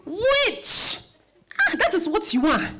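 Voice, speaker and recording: Indignant mother played by an actress, high and animated, exclamatory, hammering words home; stage-style reading. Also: slow, heavily acted. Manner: exclamatory, repeats words emphatically. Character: indignant, exasperated West African mother.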